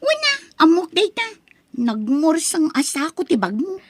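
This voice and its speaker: A woman's voice: extremely high pitch, huge pitch swings, a little slow, no room sound, full character voice. A woman acting a spoiled, haughty college-age daughter in an Ilocano radio drama, whiny and scornful, with an Ilocano accent.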